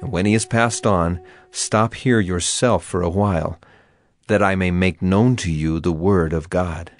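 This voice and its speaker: An actor playing an authoritative male prophet in a dramatized audio Bible, measured and declarative. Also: sits low, steady tone, dry recording. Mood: grave, assured.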